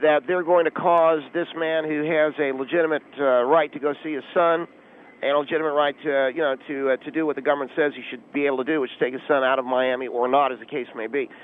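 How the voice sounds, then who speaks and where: A man playing an officious, self-important but clueless volunteer auxiliary policeman on a phone line, deadpan radio comedy with pompous, halting tactical jargon. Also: fairly high pitch. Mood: calm, pompous. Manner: pompous pseudo-tactical jargon, hesitant filler-laden delivery. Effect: telephone line. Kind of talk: deadpan comic radio call-in. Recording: tinny, narrow phone line.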